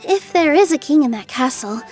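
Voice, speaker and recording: A woman's voice: sits high, expressive, normal speed, darkish tone, faint music behind. A woman voicing a sweet, polite, earnest young woman in an anime game. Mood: hopeful.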